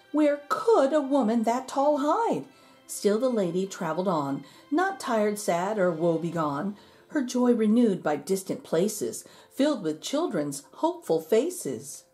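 A woman reading a rhyming children's picture book aloud in an expressive, measured storytelling manner. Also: mid-range voice. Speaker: storybook reader.

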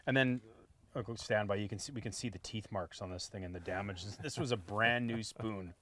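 Fast-talking man outdoors on a boat. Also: fairly low pitch. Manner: relaxed and chatty, tags lines with "right?". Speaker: fishing show host. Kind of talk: casual gear rundown.

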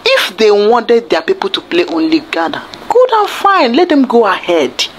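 A woman's voice: mid-range voice, big pitch swings, moderate pace, a little hiss or hum. A woman, speaking with indignant exasperation in a commentary clip played within the video.

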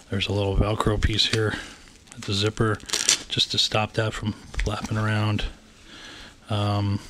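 Low-voiced Canadian man rambling over gear. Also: fairly bright tone, slight background noise. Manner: rambling, hesitant with many fillers. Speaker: vlogger reviewing gear. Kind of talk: casual unboxing commentary. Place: indoors, room with wooden floor. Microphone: handheld camera pointed down.

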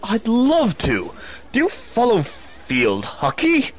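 A man's voice: high-pitched, wildly swooping pitch, slow, heavily acted. A man playing a big, naive, blithely cheerful superhero in a hammy comic-book dub, bombastic in manner. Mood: innocently evasive. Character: dim, blithely cheerful, oversized male superhero in clumsy disguise.

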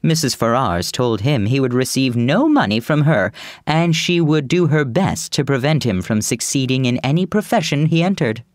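A woman doing a pompous, low-voiced gentleman. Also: lively intonation, normal speed, dry recording, studio quality. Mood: grave, pompous. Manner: pompous, grave. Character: stiff, self-important middle-aged gentleman brother.

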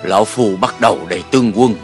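A man playing a humble old physician in a dubbed historical cartoon, measured and respectful. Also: on the low side, a little mellow, faint music behind, natural voice.

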